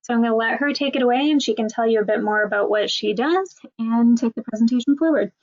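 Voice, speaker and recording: A woman's voice: on the high side, fast, a little mellow. A woman moderating a webinar, giving friendly instructions to attendees and introducing the speaker. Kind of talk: hosting a webinar. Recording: dull lo-fi webinar audio.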